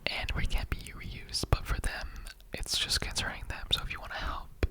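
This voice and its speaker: A performer acts out an immortal skeleton interrogator in an ASMR roleplay. The voice stays in a whisper throughout. The delivery is earnest and conversational, rambling through halting asides and repetitions. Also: natural voice. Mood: pleading, halting. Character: chatty immortal skeleton interrogator, earnestly recruiting bone donors.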